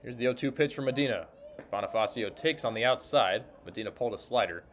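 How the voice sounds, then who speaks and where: A man's voice: mid-range voice, fast talker. Male baseball announcer over ambient noise. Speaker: sports broadcaster, interviewer and play-by-play announcer. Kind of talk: baseball radio play-by-play commentary. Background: ambience of a sparsely filled ballpark.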